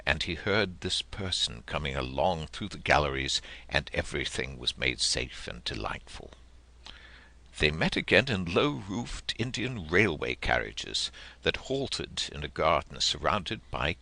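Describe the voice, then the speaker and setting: Male audiobook narrator for classic fiction: low-pitched, gravelly, measured and expressive. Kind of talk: dramatic literary audiobook narration.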